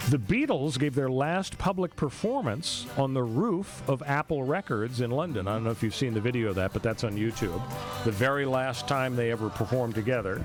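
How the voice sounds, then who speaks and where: A middle-aged American man hosting a radio talk show, commenting on news and history in a conversational way. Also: medium pitch. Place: radio studio. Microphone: close studio microphone.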